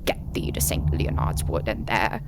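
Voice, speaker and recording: A woman playing an eerie, solemn member of a secretive order in a comic dub, in a breathy, croaking whisper. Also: very deep voice, animated, normal speed, full character voice. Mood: ominous. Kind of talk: dramatic comic dub acting.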